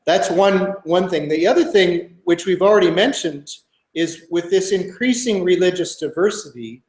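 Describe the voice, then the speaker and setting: A man's voice: high voice, expressive, normal speed, darkish tone, reverberant, poor audio. A man in his sixties from Minnesota, answering interview questions thoughtfully as a guest author, with many fillers and restarts.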